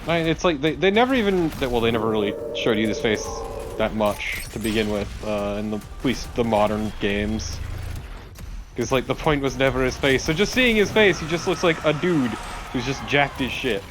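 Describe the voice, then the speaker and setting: A man's voice: medium pitch, lively intonation, moderate pace, background noise. A man talking casually and bluntly as co-commentator on a video game let's play. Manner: blunt, informal.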